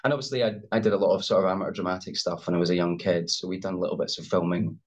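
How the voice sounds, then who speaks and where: Deep-voiced hesitant Scottish man. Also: fairly even intonation, racing. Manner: hesitant, hedging with many fillers and restarts. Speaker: actor guest. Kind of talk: casual interview over video call. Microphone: video call. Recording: dull lo-fi video call audio.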